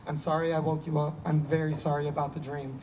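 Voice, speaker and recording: A man's voice: on the high side, flat delivery, fast, darkish tone, lo-fi sound, lightly acted. A man in his thirties in a staged play reading, playing a troubled, apologetic, self-doubting heavy drinker trying to stay sober. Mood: apologetic, tentative. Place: stage before a painted block wall. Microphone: handheld microphone. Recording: distant stage pickup with ambient noise.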